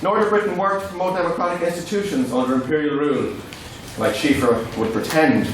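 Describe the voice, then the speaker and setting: A man's voice: on the high side, steady tone, brisk. Male Irish debater, native Irish speaker, declamatory formal delivery; distant mic, heavy room echo. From Ireland. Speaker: student debater giving a maiden speech. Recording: echoey hall from far off, faint noise.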